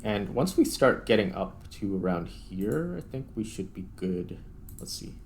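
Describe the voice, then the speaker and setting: A man's voice: on the low side, very animated, a little slow, a little hiss or hum. A man giving casual gameplay commentary, thinking aloud in a tentative, self-questioning way as he narrates each step. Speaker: gaming video host.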